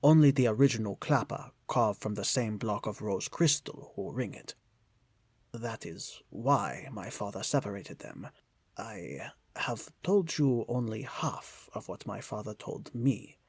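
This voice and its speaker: A man's voice: fairly low pitch, lively intonation, a little slow, a little mellow, breathy edge, no echo, full character voice. A man playing a courteous, guarded shopkeeper with flowery, formal phrasing in an old-time radio style drama. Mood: solemn warning. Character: courteous, guarded shopkeeper son, quaintly formal, solemn storyteller.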